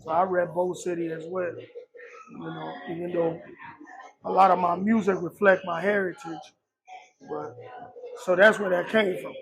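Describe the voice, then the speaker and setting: Soft-spoken high-pitched middle-aged man. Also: level tone, vocal fry, rough recording. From Liberia. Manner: soft-spoken, halting with many fillers. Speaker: rapper, guest. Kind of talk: casual interview.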